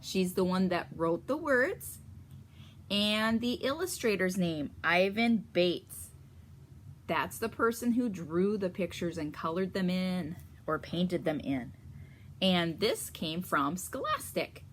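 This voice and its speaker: A woman reading a picture book aloud to children in a teacherly way, pausing to explain and ask them questions. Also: lowish voice, expressive, a little hiss or hum. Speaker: story reader for children.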